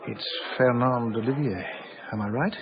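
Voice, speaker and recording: A man plays a smooth-talking, somewhat rueful art dealer in a radio play. He is well-spoken and careful, in a medium-pitched voice with slightly dulled broadcast sound. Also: moderate pace, muffled recording, a crowd in the background, lightly acted. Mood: surprised, probing. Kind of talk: radio drama dialogue and narration.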